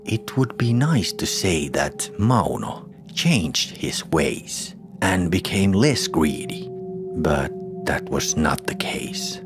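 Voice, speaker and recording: Male horror-tale narrator, very low register, lively intonation, dramatic and deliberate. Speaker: storyteller. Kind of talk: scripted horror folktale narration. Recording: music behind the voice. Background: music.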